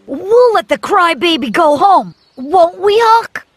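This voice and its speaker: Headstrong cartoon little boy, very high pitch, swooping childlike delivery, slow pace. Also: no echo, soft background music, heavily acted. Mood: taunting, angry. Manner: childlike, expressive.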